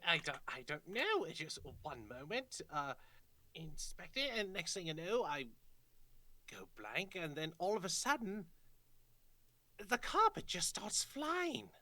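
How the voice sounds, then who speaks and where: A woman voicing a flustered character who stammers and rises into excited exclamations. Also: lowish voice, slow, long pauses, dry recording, heavily acted. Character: flustered woman who rode a flying carpet.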